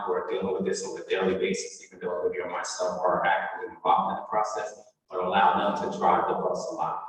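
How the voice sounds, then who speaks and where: Deep-voiced man presenting in an echoey hall. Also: fairly bright tone. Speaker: school district administrator. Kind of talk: formal presentation to a board meeting. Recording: very echoey, reverberant hall, distant mic.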